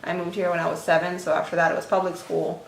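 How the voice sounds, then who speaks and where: A woman's voice: on the low side, level tone, fast talker, gravelly, echoey room, rough recording. A young woman from the United States chatting casually about her upbringing, with frequent fillers. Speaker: interviewee in a couple's conversation. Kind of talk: casual personal chat.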